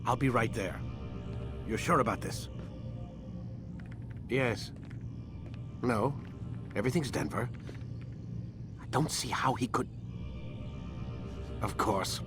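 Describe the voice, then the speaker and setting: A man's voice: mid-range voice, big pitch swings, slow, long pauses. An actor playing a cold, controlling male doctor who talks in a brusque, clipped way. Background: game score music.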